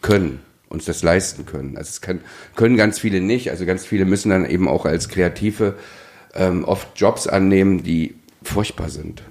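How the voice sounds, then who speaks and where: Male co-host, deep voice, chatty and emphatic, informal podcast style. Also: normal speed, darkish tone. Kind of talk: casual chat.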